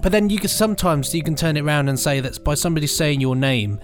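Podcast co-host: British man, slightly high voice, casual bantering delivery with hesitant turns. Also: lively intonation, fast, soft background music. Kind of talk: casual chat.

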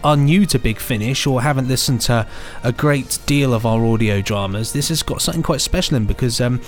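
A man's voice: medium pitch, fairly quick, soft background music. A man co-hosting an audio drama news podcast with enthusiastic, upbeat presenting. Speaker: podcast co-host. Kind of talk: casual chat.

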